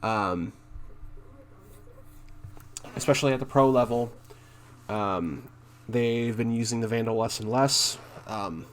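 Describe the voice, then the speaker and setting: A man doing casual commentary as he reads game notes aloud, halting and thinking out loud, with a blunt streak. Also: medium pitch, slight background noise. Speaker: gaming content creator and streamer.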